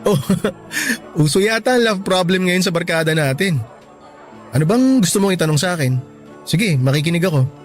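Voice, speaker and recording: A level-headed young Filipino friend. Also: male voice, high-pitched, animated, moderate pace, faint music behind. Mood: attentive, easygoing. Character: level-headed young male friend giving frank, supportive advice. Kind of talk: Tagalog radio drama acting.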